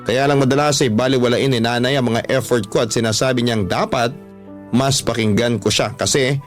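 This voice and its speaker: Filipino man narrating over soft music. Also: medium pitch, fairly even intonation, a little fast. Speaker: radio storyteller reading a letter. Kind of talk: scripted first-person story narration. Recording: music under the voice. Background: background music.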